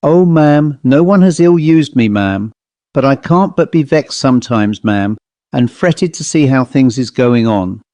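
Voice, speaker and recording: A man's voice: mid-range voice, expressive, a little slow, darkish tone, no room sound, natural voice. A man voicing a tearful, fretful young maid who pleads and keeps repeating "ma'am". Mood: pleading. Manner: pleading, breathless repetition of ma'am.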